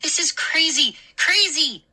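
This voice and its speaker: Woman doing a high shrieky indignant girl, loud and lively. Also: slow pace, exaggerated voice. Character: frantic young girl, older sister, indignant.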